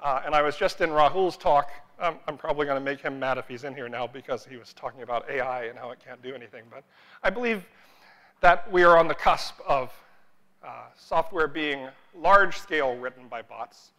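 Bright-voiced man giving a keynote. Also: on the high side, fairly quick, poor audio. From United States. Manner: self-deprecating, joking asides, frequent "uh" fillers. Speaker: conference keynote speaker. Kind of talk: humorous conference talk. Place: large conference stage indoors.

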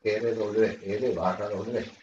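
A man's voice: fairly low pitch, level tone, slow pace, a little mellow. A middle-aged man gives a chatty, self-deprecating online lecture and keeps asking his students questions. His headset picks up a roomy echo, and the call audio sounds slightly dull. A faint hum sits under his voice. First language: Spanish. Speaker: university lecturer. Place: room at a whiteboard, indoors.

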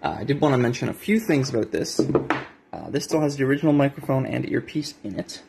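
A man's voice: medium pitch, lively intonation, brisk, gravelly, background noise. A man giving a casual, unscripted show-and-tell as a hobbyist, hesitant and correcting himself, recorded on a handheld cell phone indoors at a desk. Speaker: hobbyist video maker.

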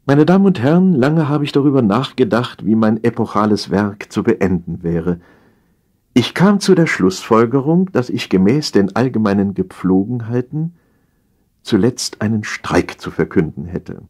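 Male narrator for satirical audiobook: low, husky voice, theatrical and mock-solemn delivery. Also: lively intonation, normal speed, darkish tone. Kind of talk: dramatic reading of satirical stories.

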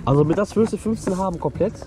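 A man's voice: sits high, rapid-fire. A man haggling casually at an outdoor flea market, friendly and polite with short reactions. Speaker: YouTube host buying at flea market. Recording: outdoor market recording under loud music.

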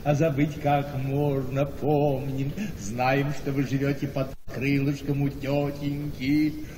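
An actor playing a fussy, ingratiating older male relative in a radio play, repeating words and exclaiming haltingly. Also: mid-range voice, level tone, in a large hall, a crowd in the background, lightly acted, poor audio. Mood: genial, fawning. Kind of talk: theatrical radio play acting.

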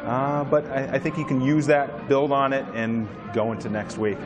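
A man analyzing the game in a postgame studio, measured with a few fillers. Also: mid-range voice, little pitch movement, music in the background.

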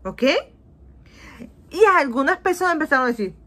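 Animated Puerto Rican woman teaching kids. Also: sits high, moderate pace. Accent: Puerto Rican Spanish. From Puerto Rico. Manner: animated, acts out voices of people, asks "¿ok?" often; mixes English into Spanish. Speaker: children's Bible teacher. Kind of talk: lively Bible lesson for children. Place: small indoor room. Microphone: webcam on a video call.

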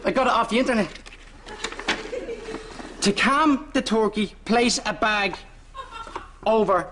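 A man playing an earnest, dim, over-confident sitcom character with a deliberate, explanatory delivery. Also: high-pitched, background noise. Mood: earnest, determined. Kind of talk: broad sitcom acting.

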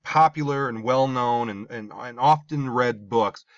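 A man's voice: medium pitch, unhurried, no echo. A man teaching a social studies class, talking through slides in a conversational, emphatic way with asides and restarts. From American Midwest. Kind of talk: classroom lecture over slides. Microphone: voice-over recorded over slides. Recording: dull dry voice-over.

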